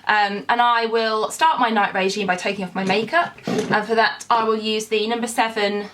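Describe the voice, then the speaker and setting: A young woman talks through her routine in a relaxed, chatty way. She sounds congested from a cold, and her talk is full of ums and little hedges. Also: mid-range voice, moderate pace, a little hiss or hum. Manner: chatty, with frequent ums and kind ofs. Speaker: beauty vlogger. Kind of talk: casual skincare walkthrough.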